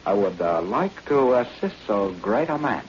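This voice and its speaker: An actor playing a servile, stuttering Chinese assassin in halting, formal English, in 1940s radio drama style. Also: male voice, fairly low pitch, big pitch swings. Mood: obsequious. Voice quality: stammering. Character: stuttering, servile Chinese man, secretly a quiet knife-wielding assassin. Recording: muffled, noisy old-time radio recording.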